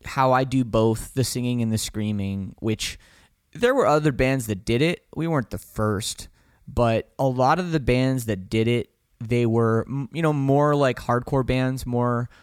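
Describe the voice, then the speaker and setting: A man talks frankly and a little rambling about his band, often circling back to restart a thought. He sits in a dry, close-sounding space. His pitch sits at an everyday middle level. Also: a little slow, clear, resonant tone, no room sound. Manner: rambling, self-correcting, frank. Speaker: band vocalist guest. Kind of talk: casual interview conversation.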